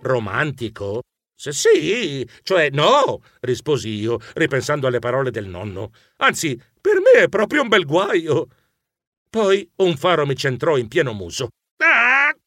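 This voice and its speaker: A man voicing an anxious, bashful gentlemanly mouse who narrates his own adventure in a children's audiobook, expressive and fretful. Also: medium pitch, measured pace, no echo, clean studio sound, exaggerated voice. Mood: flustered, worried. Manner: expressive, fretful, easily embarrassed.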